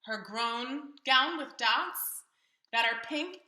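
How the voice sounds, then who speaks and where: A woman's voice: high-pitched, slow pace, sharp tone, reverberant, low-quality recording. A woman reading a storybook aloud to young children expressively and theatrically, putting questions to her listeners.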